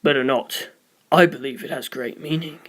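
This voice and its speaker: A reader voicing a calm, stern male priest in a story, measured and disdainful. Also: medium pitch, pitched below the performer's own voice, natural voice. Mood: cold disdain.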